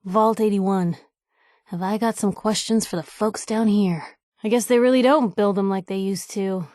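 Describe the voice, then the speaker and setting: An actress playing a feisty, wry young woman reporter in video game companion dialogue, conversational, slangy and expressive. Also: medium pitch, no room sound, studio quality, close to an everyday voice. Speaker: voice actor for a game companion character.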